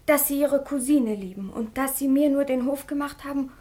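An actress as a high-voiced, wounded village girl. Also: normal speed, dark tone, close to an everyday voice. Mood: hurt, pleading. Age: young adult. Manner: earnest, formal pleading. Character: humble young village girl, wounded, earnest, pleading. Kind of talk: theatrical German radio drama acting.

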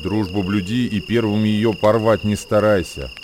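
A man performing a solemn, measured dramatic recitation of old proverbs as a radio actor. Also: lowish voice, steady tone, noisy background. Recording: music behind the voice. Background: music.

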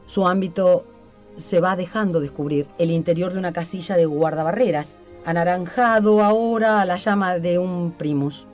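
Casting: radio host, theatrical and literary delivery, mid-range pitch, music under the voice. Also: darkish tone. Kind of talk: theatrical scripted reading and interview hosting.

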